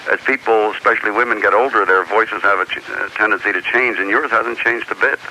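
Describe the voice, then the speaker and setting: An American man calling a radio show with casual fan questions, speaking haltingly with frequent restarts. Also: on the low side, steady tone, sharp tone. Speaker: radio phone-in caller. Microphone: telephone. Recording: phone call on hissy radio cassette.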